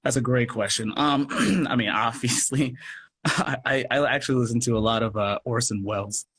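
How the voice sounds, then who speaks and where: A man's voice: mid-range voice, moderate pace, a bit bright, breathy edge. A man chatting casually in a live online interview as a guest radio play producer, given to chuckling. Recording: live stream with background noise.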